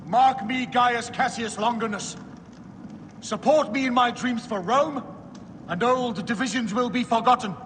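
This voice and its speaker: Ambitious Roman ruler, high-pitched, declamatory, video game drama, long hall reverb, noisy. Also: male voice, measured pace, fairly bright tone. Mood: imperious. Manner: declamatory, oratorical.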